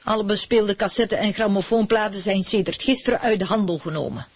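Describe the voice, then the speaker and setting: A woman acting in a radio drama as a sceptical, exasperated wife who contradicts drily in a husky voice. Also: medium pitch, fairly quick, no echo, close to an everyday voice.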